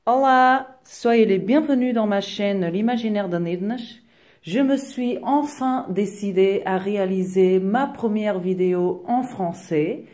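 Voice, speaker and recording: A woman's voice: medium pitch, a little slow, darkish tone. A woman whose first language is Portuguese, talking through a home decor tutorial in a friendly way, hesitating often with fillers. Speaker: DIY decor vlogger. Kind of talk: tutorial voice-over.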